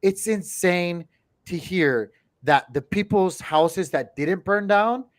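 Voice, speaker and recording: A man from Hawaii hosting a casual livestream chat from a home studio on a boom mic, laughing often and repeating himself. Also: takes it slowly. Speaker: host. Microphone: headset with boom mic.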